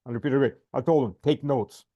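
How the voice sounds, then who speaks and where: A man's voice: mid-range voice, quick pace, no room sound, dull audio. Blunt, emphatic man on a video call. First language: Russian. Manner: blunt, emphatic, repeats himself. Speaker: podcast host. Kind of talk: casual interview chat.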